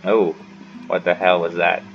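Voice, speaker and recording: A man's voice: deep voice, little pitch movement, measured pace, creaky. A man giving casual, rambling commentary as he plays through a game, often muttering to himself. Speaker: gaming streamer. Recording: slightly dull mic, game music behind.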